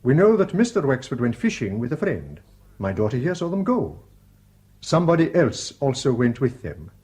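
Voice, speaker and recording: An actor plays a shrewd father who asks his questions calmly and patiently. His voice swoops widely in pitch while he probes. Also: lightly acted. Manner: probing, measured questioning. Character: shrewd Scottish father, an inquiring man. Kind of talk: radio drama acting.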